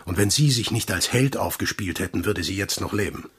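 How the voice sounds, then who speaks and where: An actor playing a gruff, weary, commanding intelligence chief in a German radio drama, terse and deliberate, with a husky, gravelly voice. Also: male voice, deep voice, lively intonation, heavily acted. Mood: grimly matter-of-fact.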